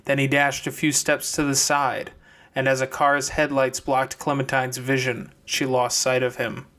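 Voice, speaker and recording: A man's voice: medium pitch, monotone, a little slow, a bit bright. A man narrating scripted fiction as an audiobook reader.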